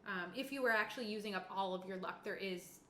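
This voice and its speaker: A woman's voice: medium pitch, fast, bright, forceful tone. A woman running a tabletop game as game master, casually explaining rules and narrating in an informal way. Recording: echoey room with faint background noise.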